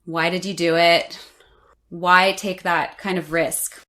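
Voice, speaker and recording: Woman hosting a podcast, slightly low voice. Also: level tone, slow pace, fairly bright tone. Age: 40s. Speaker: podcast host. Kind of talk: scripted podcast intro and conversational interviewing.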